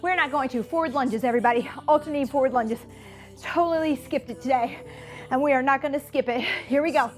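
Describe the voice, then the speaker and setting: A woman's voice: high-pitched, quick pace, darkish tone, soft background music. A woman working as a fitness instructor, counting and cueing exercises in a chatty, encouraging way with self-deprecating asides, her nose a little stuffed up. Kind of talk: workout coaching, counting and cueing to camera.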